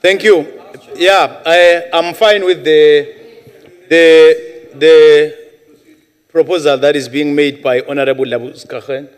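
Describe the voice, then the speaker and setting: A middle-aged man presiding over a parliamentary session, giving rulings in a halting, repetitive way. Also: a little high, steady tone, clean tone. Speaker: presiding chairperson. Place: large parliament chamber, indoors. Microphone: desk microphone at presiding chair. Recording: reverberant parliament chamber.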